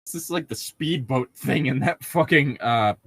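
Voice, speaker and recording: A man's voice: medium pitch, moderate pace, a bit bright, husky, no echo. A man casually reading fan fiction aloud with friends, cutting in with sweary, mocking asides. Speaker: fan fiction podcast reader. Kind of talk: casual comic read-aloud and banter.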